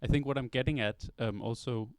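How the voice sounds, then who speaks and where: Smooth-voiced man asking questions. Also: mid-range voice, a little fast, a little mellow, no echo. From Denmark. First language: Danish. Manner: thoughtful, long reflective questions. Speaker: interviewer, songwriter. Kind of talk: interview questions. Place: record store at a release party.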